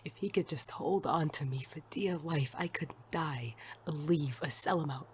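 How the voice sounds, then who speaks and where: A man's voice: a little high, normal speed, a little hiss or hum, close to an everyday voice. A man playing a hard-boiled, lovestruck but wary male detective in a noir audio drama, blunt and intimate in manner. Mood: tender, brooding. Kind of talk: noir audio drama with first-person narration.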